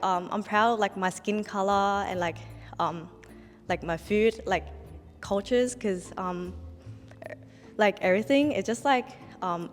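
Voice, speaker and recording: Woman with a Vietnamese first language, saying "like" nonstop. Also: medium pitch. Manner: filler-heavy, says "like" constantly. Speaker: panel guest. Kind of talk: conversational panel discussion. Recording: long reverb, faint background music.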